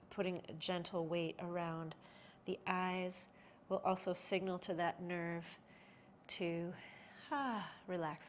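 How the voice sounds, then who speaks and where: A woman guiding a yoga nidra relaxation in a slow, soothing voice with soft pauses, sometimes dropping to a breathy hush. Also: lowish voice, a little hiss or hum. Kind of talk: guided relaxation.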